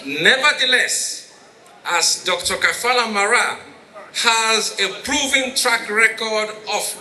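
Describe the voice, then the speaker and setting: A man with a Sierra Leonean accent delivers a ceremonial introduction in a high, very piercing voice. He is grandiloquent and slow, stressing his long words. The sound is echoey, like a hall PA. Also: bright, forceful tone. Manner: grandiloquent, emphatic, declamatory. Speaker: master of ceremonies introducing the keynote speaker. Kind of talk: formal ceremonial introduction speech. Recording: roomy echoing PA sound, faint noise.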